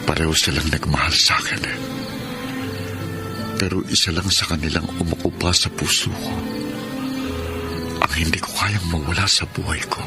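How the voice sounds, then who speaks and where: An actor with a Filipino accent playing a tender young student torn between two women in a radio drama. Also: male voice, rumbling low, fairly even intonation, normal speed, pauses often. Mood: wistful confession. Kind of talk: Filipino radio drama acting and first-person narration. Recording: loud music bed behind the voice.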